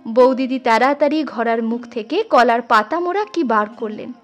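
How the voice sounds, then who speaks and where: A woman reads literary fiction as an expressive audiobook storyteller. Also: fairly high pitch, normal speed. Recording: music under the voice. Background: instrumental music.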